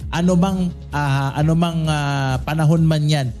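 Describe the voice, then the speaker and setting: A man, anchoring radio news and chatting with his co-host, halting with many fillers. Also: a little high, steady tone, a little mellow, faint music behind. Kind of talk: radio news sign-off chat.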